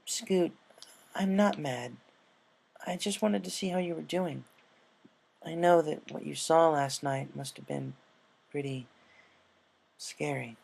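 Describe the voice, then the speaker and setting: A man plays a young storybook pegasus mare with a slightly raised, creaky voice. She is brave and tender, a soft-spoken, earnest big-sister mentor. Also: a little high, slow, pauses often, pitched above the performer's own voice, exaggerated voice. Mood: gentle, reassuring.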